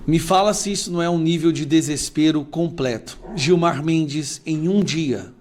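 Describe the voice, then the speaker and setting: A man's voice: a little high, a little mellow. A man giving outraged political commentary, speaking emphatically and directly to the viewers. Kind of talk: indignant video commentary.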